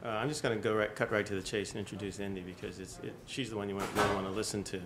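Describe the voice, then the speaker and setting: Middle-aged man, low voice, brisk introduction. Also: level tone, background noise. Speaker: professor introducing his student. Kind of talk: speaker introduction. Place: lecture hall at a podium. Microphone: podium microphone.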